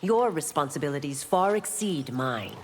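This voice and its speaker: Sceptical lawwoman, deep female voice, expressive, serious, fighting game, long reverb. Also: normal speed, slight background noise. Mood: stern, doubting. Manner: serious, questioning. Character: stern, sceptical female law constable. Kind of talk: scripted fighting game dialogue.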